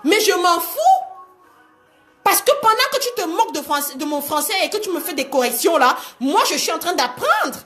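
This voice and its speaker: A woman coaching online viewers in an animated, exhortative live talk, repeating phrases and asking rhetorical questions for emphasis. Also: very high voice. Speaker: motivational coach hosting a livestream.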